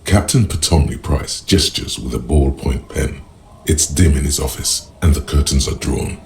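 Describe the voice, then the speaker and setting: A man voicing a brooding, poetic narrator of a noir game world, with slow, gravelly, literary delivery. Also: rumbling low, animated, darkish tone, a little hiss or hum, full character voice. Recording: music under the voice. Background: music.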